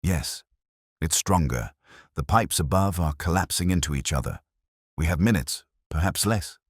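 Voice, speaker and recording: A grave, commanding Victorian detective from an audio mystery , acted by a male reader. The voice is extremely low and closely recorded without room sound, with deliberate, terse delivery and calm precision. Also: breathy edge, no echo, studio quality. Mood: urgent, precise.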